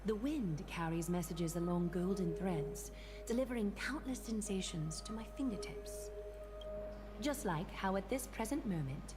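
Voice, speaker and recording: Fantasy game seer actress, serene and courtly, medium pitch, measured poetic lines over music. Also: female voice, lively intonation, natural voice. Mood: serene, gracious. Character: serene, gracious seer woman of a holy city.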